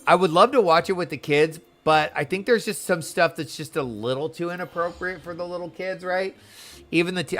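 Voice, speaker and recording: A man's voice: a little high, a bit bright. A man chatting casually and animatedly as he streams a video game, rambling from thought to thought. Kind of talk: casual livestream chat over gameplay.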